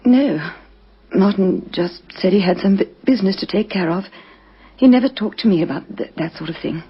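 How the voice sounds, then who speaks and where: Breathy, shaken widow. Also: female voice, mid-range voice, animated. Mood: shaken, subdued. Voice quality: breathy, husky. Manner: halting, hesitant. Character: shaken, well-to-do wife newly widowed. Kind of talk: vintage radio drama acting. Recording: dull old radio recording.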